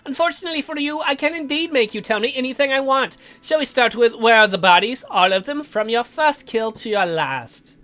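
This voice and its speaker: A man's voice: very high-pitched, animated, sharp tone, voice pushed up, heavily acted. Man voicing a dry, sardonic, commanding vampire count. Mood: coolly commanding. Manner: dry, deliberate, mock-polite menace.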